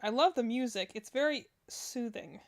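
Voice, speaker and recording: A woman's voice: on the high side, animated, normal speed. A woman giving casual reaction commentary as a streamer, rambling and thinking aloud as she goes. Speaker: streamer reacting to game character demos.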